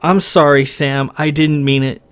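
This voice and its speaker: A man's voice: fairly high pitch, steady tone, a little slow, fairly bright tone, clear, resonant tone. A man reading a story, voicing a young man who pleads and apologizes in raised, stumbling bursts. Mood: earnest.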